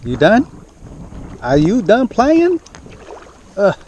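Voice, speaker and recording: Man vlogging outdoors, clear background noise. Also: sits high, huge pitch swings, very slow, darkish tone, muffled recording. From central California. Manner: informal, chatty, talks to the fish. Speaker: fishing vlogger. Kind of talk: casual narration to camera while fishing. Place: outdoors on a lake shore. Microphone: action camera worn on the body. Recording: clearly noisy outdoor action-camera audio, wind.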